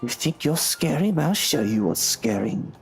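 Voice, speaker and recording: Game role: sardonic, tactless, impatient man, grudging and haughty, lively intonation. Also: a little high, a little slow, gravelly, husky, faint music behind, heavily acted. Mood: sardonic, defiant. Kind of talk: visual novel game acting.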